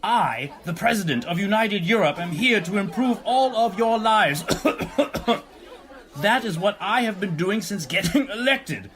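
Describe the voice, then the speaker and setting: An actor playing a pompous president giving a rally speech in an audio drama, stammering, repeating himself and coughing often. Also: male voice, high voice, lively intonation, measured pace, fairly bright tone, a faint crowd. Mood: defensive.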